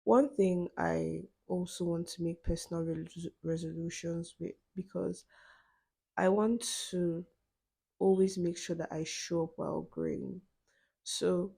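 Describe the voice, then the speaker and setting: A young woman, hosting her own podcast in a relaxed solo chat, full of "you know" fillers and quick laughs. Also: lowish voice, vocal fry, breathy edge. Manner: chatty, many "you know" fillers, laughs between phrases. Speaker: podcast host.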